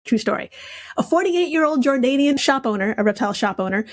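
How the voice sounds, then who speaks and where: A woman's voice: high-pitched, expressive, fast. A giggly, irreverent woman reading out a comedic quiz casually as a podcast guest.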